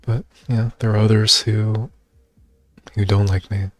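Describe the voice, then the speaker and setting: Soft-spoken, low, flat young man. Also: unhurried, no room sound. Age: young adult. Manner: soft-spoken, reserved, hesitant with many fillers. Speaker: vlogger. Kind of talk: personal monologue.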